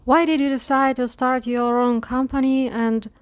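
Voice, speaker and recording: A woman's voice: sits high, level tone, moderate pace, clear, resonant tone, dry recording. A woman from Ukraine hosting an interview channel, speaking in a hesitant way with fillers. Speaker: interview host. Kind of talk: casual interview.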